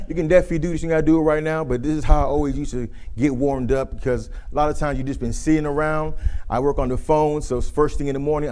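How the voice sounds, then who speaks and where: A man in his thirties with a deep voice, giving a casual workshop talk on communication and acting out flat and lively greetings as examples. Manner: conversational, demonstrates monotone versus lively greetings. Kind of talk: casual presentation with demonstrations.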